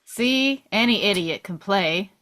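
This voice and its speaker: A woman's voice: medium pitch, animated, takes it slowly, a bit bright. Woman reading a brash wounded soldier. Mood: coaching. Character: brash, bossy wounded American soldier man in army hospital. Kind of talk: table read acting.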